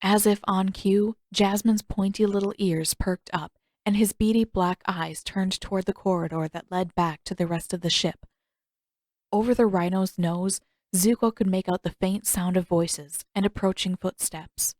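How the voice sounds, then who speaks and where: A woman narrates fiction in the manner of an audiobook, reading from a script. Her voice sits at a middle pitch. The recording is dry, with hardly any room sound around her. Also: fairly even intonation, normal speed, darkish tone, no room sound.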